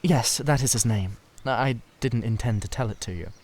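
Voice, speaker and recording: A man voicing a sensitive, secretive young painter in a dramatised audiobook, earnest and halting as he confides. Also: mid-range voice, darkish tone, slight background noise, natural voice. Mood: confiding, sheepish.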